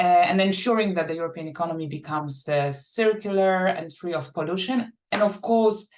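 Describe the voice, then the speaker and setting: A woman giving formal, measured remarks as a conference panelist, with occasional filler pauses. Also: lowish voice. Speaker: panelist, policy team leader.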